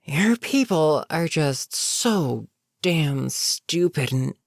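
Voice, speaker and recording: A weary goblin captain performed by a voice actor speaks in a creaky, gravel-edged voice at a leisurely pace. The delivery is conversational fantasy roleplay, sardonic and amused but businesslike. The sound is very dry, with no room around it. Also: mid-range voice, expressive, drawn-out words, no echo, heavily acted. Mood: wry, matter-of-fact. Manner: casual, sardonic, chatty, sighing asides. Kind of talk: immersive character roleplay monologue, conversational.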